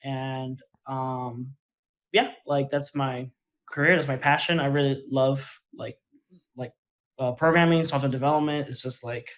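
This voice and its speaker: Brief: man guest from Puerto Rico, mid-pitched, hesitant, lots of filler words. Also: fairly even intonation, unhurried, poor audio. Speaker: podcast guest. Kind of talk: casual chat.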